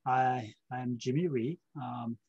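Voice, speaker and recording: A man's voice: mid-range voice, fairly even intonation, takes it slowly, darkish tone, slightly rough, highs cut off. A middle-aged man from South Korea, answering interview questions with anecdotes and explaining step by step, often checking in with short tag questions. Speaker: interview guest, state government official.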